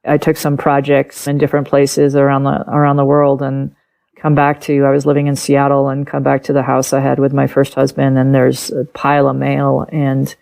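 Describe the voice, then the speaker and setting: A middle-aged woman whose first language is German speaks in a low voice with a gravelly edge. She is an interview guest telling her personal story candidly and animatedly, acting out old conversations. Her voice stays deep and textured throughout. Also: moderate pace. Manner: candid, animated, acts out past conversations and laughs often. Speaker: author and podcast guest.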